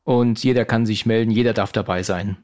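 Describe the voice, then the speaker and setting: A man in his forties, a guest on a podcast, talking in a hesitant way with many pauses and filler sounds. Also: fairly low pitch, steady tone, darkish tone. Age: 40s. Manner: hesitant, many "ähm" pauses, easy laughs. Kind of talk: casual podcast conversation.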